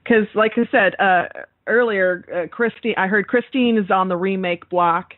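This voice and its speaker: A woman's voice: mid-range voice, normal speed, no echo. A woman co-hosting a podcast, chatting casually about horror movies in an emphatic, exclamatory way, with casual swearing. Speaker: podcast co-host. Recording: narrow phone-like call audio, dry.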